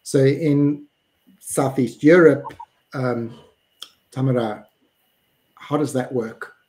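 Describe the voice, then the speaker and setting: A man's voice: mid-range voice, fairly even intonation, a little mellow, slight background noise, low-quality recording. South African man hosting a panel. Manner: measured, hums along while others talk. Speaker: host. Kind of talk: moderating a webinar panel. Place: home room, indoors. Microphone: video call with earphone mic.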